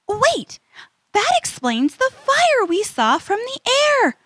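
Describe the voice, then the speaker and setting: A woman playing a sensible, earnest young adventurer in a children's audio drama, expressive and easily astonished. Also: very high-pitched, takes it slowly, no room sound, exaggerated voice. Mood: curious. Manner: earnest, expressive, stammers in disbelief.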